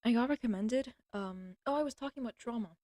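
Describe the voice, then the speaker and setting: A young woman talks straight into her streaming mic from home, wandering off track and interrupting herself. Her voice is a little throaty, and she clears it often. The sound is close and dry, with no room echo. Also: a little high, fairly quick, warm tone. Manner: rambling, self-interrupting, blunt and crude. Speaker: livestreamer. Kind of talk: casual rambling monologue. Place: at home with a cat.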